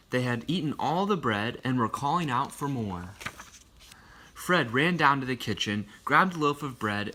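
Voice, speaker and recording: A man with a bright, forward voice reads a children's picture book live. He tells it expressively, in the casual way of someone sharing a favorite book. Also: medium pitch.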